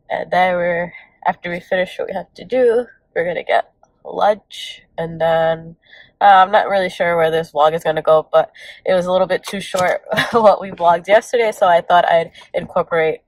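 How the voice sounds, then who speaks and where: A woman's voice: fairly low pitch, no room sound. A young woman vlogging from a parked car, sounding stuffy and congested, rambling with lots of fillers and self-corrections. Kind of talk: casual vlog chat.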